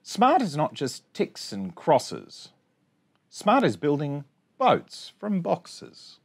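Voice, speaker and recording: A man in his forties hosting storytime, reading a picture book aloud to children in an expressive sing-song way with chatty asides. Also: a little high, slow, creaky, husky. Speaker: storytime host. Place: small indoor space among bookshelves.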